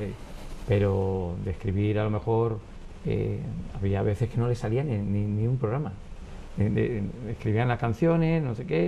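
A man in his fifties, born in Cuba, chatting as an interview guest in a wood-panelled studio, telling family anecdotes with lots of restarts and lively acted quotes. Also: fairly low pitch, vocal fry, muffled recording, slight background noise. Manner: animated, gestures with hands, many restarts and acted quotes. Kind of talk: relaxed conversational storytelling. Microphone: lapel mic.

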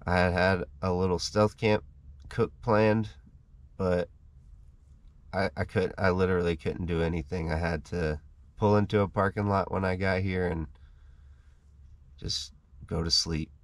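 Low-voiced man vlogging from his van. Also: measured pace, pauses often, no echo. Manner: relaxed, chatty, walks through each step. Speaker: travel vlogger. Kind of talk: casual vlog narration to camera. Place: inside a parked van, small enclosed space. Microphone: camera mounted in the vehicle.

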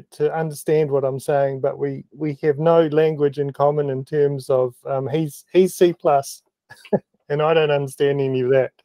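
A man with a New Zealand accent casually explaining photo-editing experiments on a video call, halting with many ums and small self-deprecating laughs. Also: a little high, warm tone, no room sound. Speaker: meeting participant presenting photo-editing experiments.

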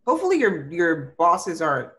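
A woman co-hosting a casual panel chat on a video call, talking in a playful, animated way. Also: fairly low pitch, normal speed. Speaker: co-host. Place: room at home.

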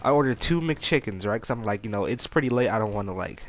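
A man's voice: lowish voice, lively intonation, fast talker. A young American man telling a personal story in a casual, rambling gaming-video voice-over, with slangy, exasperated phrasing. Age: young adult.

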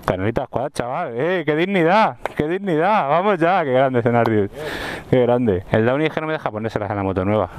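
A man's voice: mid-range voice, expressive, brisk, slight background noise. A young man from the Madrid area, a visiting motovlogger, joking and bantering with friends in a parking lot. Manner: joking, slangy, showman-like banter. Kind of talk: casual chat among friends. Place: outdoor gravel parking lot by the water.

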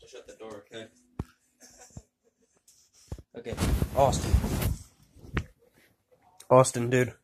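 A man telling an improvised story to friends in a casual, crude and excitable way, repeating himself. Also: mid-range voice, measured pace. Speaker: amateur storyteller. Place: indoors at home. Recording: noisy amateur handheld recording, clicks.

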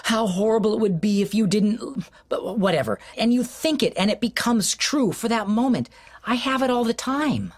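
A man's voice: sits high, lively intonation, moderate pace. An actor playing a pragmatic, patient husband who talks his wife down in an audio drama. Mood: reassuring. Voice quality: soothing, patient, stammers on restarts. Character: pragmatic, reassuring husband, a claims adjuster. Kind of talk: scripted radio drama acting.

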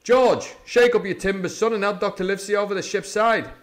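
A man's voice: high voice, pitched above the performer's own voice, natural voice. A man putting on a hearty, sly old pirate cook. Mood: jovial hailing. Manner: loud, drawn-out, hearty bellowing.

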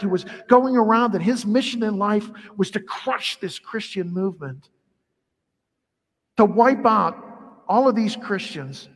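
A middle-aged priest preaches in a lively, conversational way, his voice sitting high for a man. The sound is caught from across a large church sanctuary, with a long reverb trailing each phrase. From Ireland heritage. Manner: animated, conversational preaching with wide arm gestures. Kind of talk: sermon.

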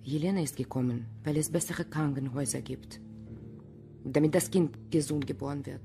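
A woman's voice: deep voice, moderate pace, mellow, soft background music. An actress playing a guarded, defiant Romanian immigrant woman in a crime radio drama, with clipped, formal phrasing. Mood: sombre, earnest. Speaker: actor in a crime radio play. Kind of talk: German radio drama acting.

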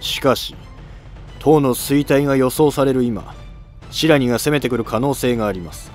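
A man's voice: mid-range voice, moderate pace, a little mellow, faint music behind, natural voice. A man playing an earnest, hawkish court minister in a historical drama, formal and deferential. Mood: urgent.

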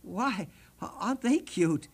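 Male storyteller as soft-hearted young prince, raised pitch, earnest, faltering, storybook style. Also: lively intonation, unhurried, breathy edge, full character voice. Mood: tender concern. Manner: earnest, hesitant, stammering. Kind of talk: storybook reading.

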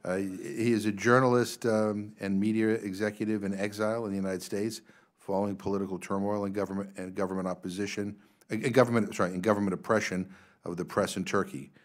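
A low-pitched man in his sixties with a Massachusetts accent reads out speaker introductions at a congressional hearing. He halts often with "uh" and trips over unfamiliar names. He speaks into a desk microphone in a big, formal hearing room. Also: fairly even intonation. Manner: halting, filler-heavy reading, stumbles on names. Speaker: congressional commission co-chair.

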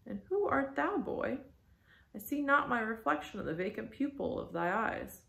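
A woman's voice: on the high side, sing-song, normal speed, gravelly, a bit bright, voice pushed up, heavily acted. A commanding old whaling captain, acted out by a woman, brooding and half mad, declaiming in archaic speech. The sound is echoey, in a bare room. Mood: stern, searching.

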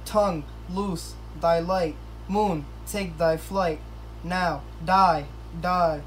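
A woman's voice: on the low side, steady tone, very slow. A woman voicing a pompous, melodramatic male lover in an amateur puppet show, declaiming verse hammily. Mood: theatrically anguished. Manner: hammy, declamatory. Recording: noisy home recording.

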